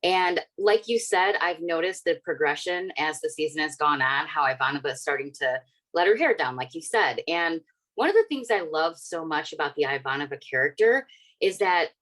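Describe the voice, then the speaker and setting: A woman's voice: deep voice, animated, normal speed, fairly bright tone. A woman in her forties, a podcast co-host asking long, rambling questions with many fillers. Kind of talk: casual interview questions.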